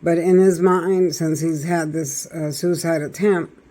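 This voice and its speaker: A man with a raspy voice doing a chatty tarot reading, talking straight to his viewers. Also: on the high side, fairly even intonation, measured pace, warm tone. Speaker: tarot reader.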